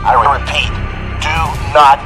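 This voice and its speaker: An actor playing a beleaguered colony survivor sending a distress call in a space video game. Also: male voice, mid-range voice, fairly even intonation, slow, over music, close to an everyday voice. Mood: urgent, strained. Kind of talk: video game cutscene acting.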